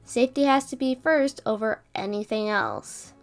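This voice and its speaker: A woman playing a stressed, protective female scientist in an audio roleplay drama, speaking deliberately with sighs. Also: a little high, faint music behind, lightly acted. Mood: gentle, serious.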